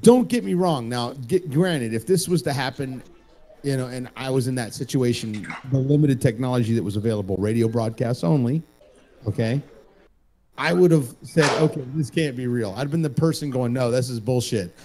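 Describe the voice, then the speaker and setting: A man with a dark, relaxed voice at an average pitch. He chats casually as a show host, joking, rambling and swearing as he goes. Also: normal speed, a little hiss or hum. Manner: profane, joking, rambling, repeats phrases for emphasis. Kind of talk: casual group chat.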